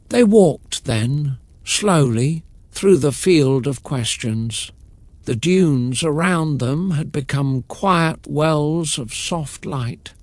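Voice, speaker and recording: A man narrating a scripted bedtime story in a calm, soothing, measured manner. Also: fairly high pitch, no room sound.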